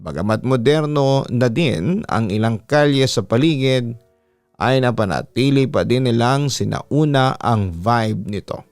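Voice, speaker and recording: Male Filipino radio-style narrator for a story read. Crisp, resonant, measured and dramatic, close-miked over faint music. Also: mid-range voice, expressive, no room sound. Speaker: radio announcer hosting a horror story show, reading listeners' letters. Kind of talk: scripted first-person story narration. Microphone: close studio microphone. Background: soft background music.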